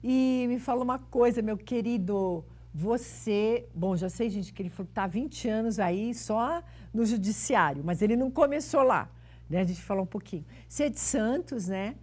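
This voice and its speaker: A woman's voice: on the high side, normal speed, darkish tone. A woman hosts a talk show, chatting and interviewing in an informal, rambling way with familiar forms of address.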